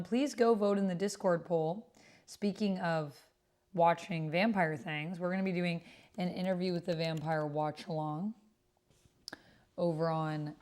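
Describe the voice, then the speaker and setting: A woman's voice: sits low, darkish tone. A woman hosting a livestream, chatting casually and informally with her viewers. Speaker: livestream host.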